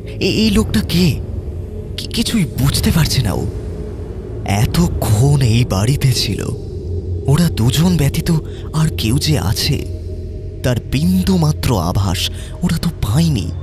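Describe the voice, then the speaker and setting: একজন পুরুষ কথক, টানটান রহস্যময় ভঙ্গিতে ধীরে ধীরে ভৌতিক গল্প বলেন।. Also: male voice, mid-range voice, sing-song. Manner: suspenseful, measured. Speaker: narrator. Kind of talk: dramatic horror storytelling. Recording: music behind voice.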